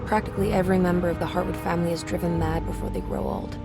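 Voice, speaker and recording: An actress playing a gloomy, anxious young upper-class woman with a measured, formal, wry delivery in a video game. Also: fairly low pitch, wildly swooping pitch, over loud music. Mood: bleak, composed. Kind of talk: cinematic video game acting.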